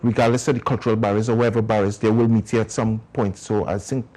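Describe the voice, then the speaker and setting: A man's voice: on the low side, level tone, quick pace, a little mellow. A man with a Trinidadian accent, a community organization founder explaining his work at length as a guest in a TV interview.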